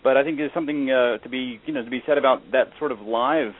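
A man's voice: medium pitch, fairly even intonation, quick pace. A young adult man, a guest chef, chatting casually and joking, with lots of fillers. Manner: easygoing, joking, many fillers. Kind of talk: casual phone interview. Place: Atlanta. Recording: tinny phone line, faint hiss.

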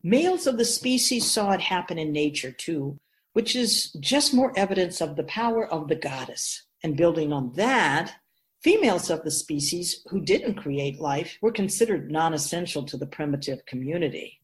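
A woman playing a wise otherworldly spirit in a radio drama, explaining things patiently in a measured, knowing way. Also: low voice, lively intonation. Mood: didactic. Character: Keeper of Souls, wise otherworldly spirit in female voice.

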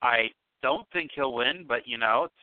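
A man's voice: mid-range voice, normal speed, smooth, no room sound. A man hosting a phone-in podcast, chatting casually and teasing his caller with dry humor. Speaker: podcast host. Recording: dry tinny phone-like sound.

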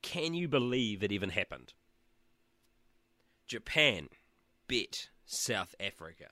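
A chatty New Zealand man hosts his show from a small room at the back of a shed, teasing his listeners with cheeky asides. His voice is bright and forceful despite a sore throat and a blocked nose, and it sounds dry and close. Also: lowish voice, very animated, slow, no echo. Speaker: radio show host. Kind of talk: casual solo chat.

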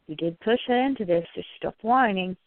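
A woman's voice: mid-range voice, brisk, dry recording, phone-quality sound. A woman reading scripted narration for a radio play.

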